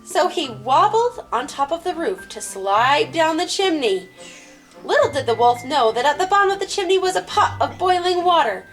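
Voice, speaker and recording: A woman hosting and telling a children's story in an expressive storybook style. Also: sits high, normal speed, poor audio. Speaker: host and storyteller. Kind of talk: animated storybook narration for children. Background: music.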